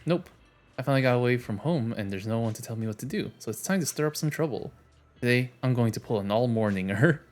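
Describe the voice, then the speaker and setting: A man's voice: medium pitch, lively intonation, quick pace, darkish tone, lightly acted. A man reading the part of a carefree young woman who wants to stir up mischief, in a playful tone.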